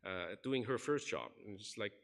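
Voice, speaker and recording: A man's voice: lowish voice, animated, normal speed, a bit bright. A man preaching a sermon in a church, earnestly telling a story and acting out the people in it. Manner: earnest, recounts stories and acts out quoted people. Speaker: pastor. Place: church sanctuary.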